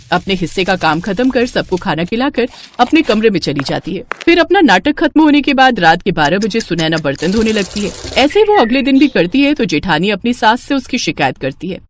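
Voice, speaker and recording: A woman's voice: medium pitch, slight background noise. A woman giving scripted storytelling narration.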